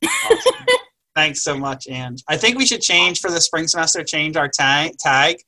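Young guy cohosting a podcast, bright tense tone, talks earnest and encouraging. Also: a little high, huge pitch swings, normal speed. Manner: earnest, encouraging advice-giving. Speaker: podcast co-host. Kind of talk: casual podcast chat.

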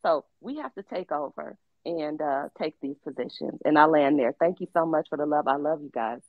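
A woman's voice: sits low, moderate pace, no room sound. Urgent woman, dull lo-fi sound. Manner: urgent and rallying. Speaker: speaker in an online audio room. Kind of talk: political talk.

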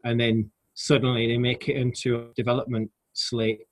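A man's voice: mid-range voice, level tone, moderate pace. A man in his forties from Yorkshire with a Yorkshire accent, chatting as a guest in a rambling, self-deprecating way with wry asides. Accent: Yorkshire English. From Yorkshire, England. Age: 40s. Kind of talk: casual interview chat.

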